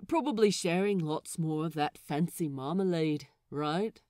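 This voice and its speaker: A woman's voice: deep voice, unhurried, a little mellow, dry recording, clean studio sound. A woman voicing a mild, practical male pirate crewman who speaks hesitantly, with the cadence of a question. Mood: unsure.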